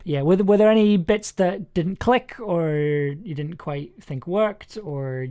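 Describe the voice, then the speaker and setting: A man's voice: high voice, slow, dark tone, clean tone. A man hosting a livestream as writer and director, giving casual, encouraging feedback in a hesitant, rambling way. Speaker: host, writer-director of audio drama.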